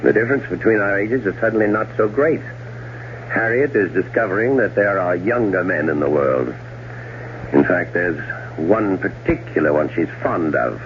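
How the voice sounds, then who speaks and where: A suave, cold-blooded schemer in an old radio drama. His voice sits low and moves with sardonic deliberation. The recording is dull and carries a light hiss of noise. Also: animated, moderate pace. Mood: smooth, calculating. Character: scheming, cold-blooded older brother plotting his wife's murder. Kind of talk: 1970s radio drama acting and first-person narration.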